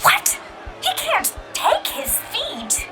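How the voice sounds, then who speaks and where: A woman's voice: very high voice, wildly swooping pitch, extremely slow, a loud crowd, full character voice. A woman playing a horrified, protective bystander in an audio drama, full of anguished exclamations. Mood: outraged, desperate. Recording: loud music over the voice.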